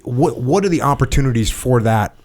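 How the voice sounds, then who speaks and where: A man's voice: mid-range voice, expressive, brisk, a little mellow. A middle-aged man hosting a podcast, asking blunt, probing questions and telling a story plainly. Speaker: podcast host. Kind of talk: casual conversational interview.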